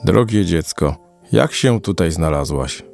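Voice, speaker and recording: A man playing a kindly, thoughtful old man in a children's audio play, speaking deliberately with a gravelly voice. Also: low voice, lively intonation, darkish tone, exaggerated voice. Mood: kindly, measured. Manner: slow, deliberate, musing. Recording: music under the voice.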